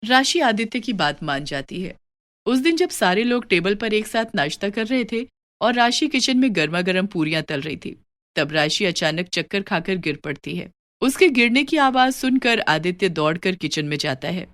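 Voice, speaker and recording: A woman's voice: medium pitch, expressive, normal speed, fairly bright tone. A woman reading scripted moral story narration as a storyteller.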